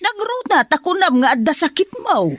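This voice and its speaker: A woman's voice: high-pitched, sing-song, moderate pace, a bit bright, dry recording, clean studio sound. A woman playing an anxious Ilocano mother. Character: worried middle-aged mother. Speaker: mother character. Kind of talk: Ilocano radio drama acting.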